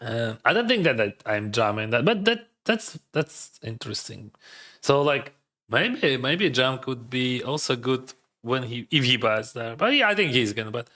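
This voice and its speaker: A man's voice: mid-range voice, big pitch swings, normal speed, bright, forceful tone. A man whose first language is Russian, thinking aloud in hesitant English as he plays and streams poker. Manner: hesitant, second-guessing, filler-heavy non-native English. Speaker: poker player streaming his games. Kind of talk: thinking aloud while playing online poker.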